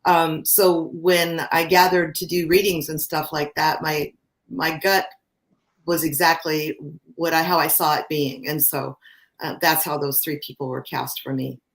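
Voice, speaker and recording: Low deep woman's voice, director talking casually on a video call panel. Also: level tone, measured pace. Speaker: theater director.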